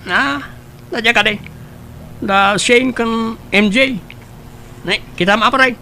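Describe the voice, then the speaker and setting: A man's voice: very high voice, slow, pushed and bright, slight background noise, full character voice, rough recording. A man playing a chummy, persuasive young matchmaking pal in an audio drama. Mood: chummy, advising. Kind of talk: Ilocano radio-style audio drama acting.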